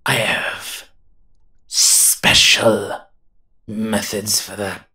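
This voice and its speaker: A menacing monster detective from an audio roleplay, acted by a man, speaks very slowly in a bright, forceful voice. He is cocky and protective, drawing out his taunts and threats and letting words fall away into breath. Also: fairly low pitch, little pitch movement, exaggerated voice. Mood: threatening. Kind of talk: roleplay audio drama acting.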